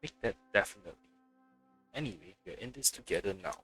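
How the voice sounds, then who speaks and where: A man's voice: lowish voice, lively intonation, fast, sharp tone, soft background music. A man giving casual commentary as he plays a game on a live stream. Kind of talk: casual let's play commentary.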